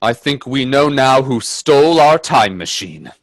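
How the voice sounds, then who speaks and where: A man playing an earnest, uneasy gentleman adventurer in a radio play, telling his tale with theatrical, hesitant suspense. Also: mid-range voice, sing-song, a little slow, dry recording. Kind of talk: dramatic radio play narration and dialogue.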